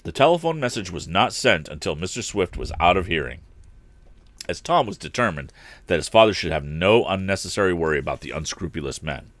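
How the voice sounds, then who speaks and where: A man narrating an adventure novel aloud as a storyteller, with an expressive read-aloud delivery. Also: sits low, a little fast, dry recording. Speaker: storyteller, live stream host.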